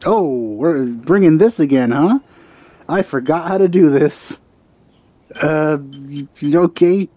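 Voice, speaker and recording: A man's voice: a little high, takes it slowly, dark tone, no room sound. A man doing casual let's play commentary, dropping dry, wry asides close to the microphone.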